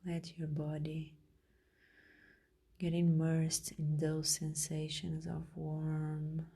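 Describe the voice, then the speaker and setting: A woman guides a meditation in a low, mellow voice. She speaks very slowly and gently, leaving long pauses between phrases. The delivery is soft and soothing, turning breathy at times. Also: completely flat delivery. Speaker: meditation guide, podcast host.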